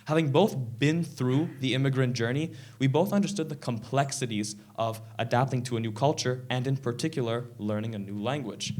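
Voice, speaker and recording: A sixteen-year-old boy from Canada giving a prepared stage talk in an earnest, measured way, a little sick. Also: mid-range voice, darkish tone. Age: teens. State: slightly sick, clearing throat. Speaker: presenter. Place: event stage.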